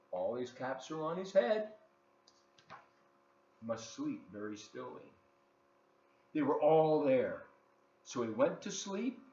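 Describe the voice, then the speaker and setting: A man reading a children's story aloud from his living room, expressive and talking directly to the kids with asides. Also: medium pitch. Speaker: volunteer children's story reader. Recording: dull, roomy home recording with faint noise.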